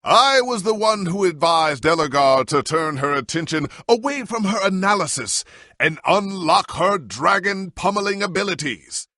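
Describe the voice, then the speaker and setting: An actor playing a proud warrior hero in a video game, with a pompous, theatrical, commanding way of speaking. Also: male voice, high voice, expressive, normal speed, pushed and bright, no echo, studio quality. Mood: grand, rousing. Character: proud, grandiose warrior hero, an adult man. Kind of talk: dramatic game dialogue and heroic speeches.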